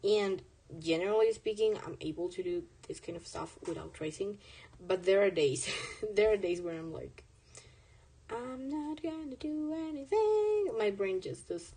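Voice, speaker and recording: Husky woman, Spanish native, chatty. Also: medium pitch, big pitch swings, a little slow, dark tone, poor audio. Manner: rambling, self-correcting, chatty. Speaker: artist streaming a drawing session. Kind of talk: casual chat while drawing.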